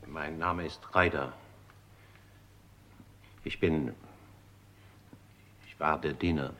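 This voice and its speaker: An actor playing a gaunt, older English manservant in a radio crime drama, formal, precise and deferential. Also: male voice, extremely low pitch, steady tone, slow, fairly bright tone, heavily acted. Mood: subdued, formal. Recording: old radio recording, noisy background.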